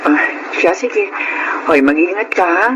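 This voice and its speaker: A woman's voice: lowish voice, measured pace, lightly acted. A woman acting a caring, worried middle-aged working-class mother in a Filipino radio drama. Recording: noisy radio-drama broadcast.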